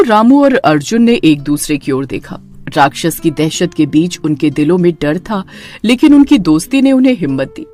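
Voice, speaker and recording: A woman's voice: lowish voice, mellow. A woman narrator reading a scripted horror story in a dramatic storytelling manner.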